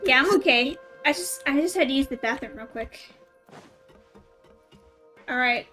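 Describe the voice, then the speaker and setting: A woman's voice: high voice, a little slow, pushed and bright. A woman streaming a game, chatting casually as she reacts to it. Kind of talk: casual gameplay reaction chat. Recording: game music behind the voice.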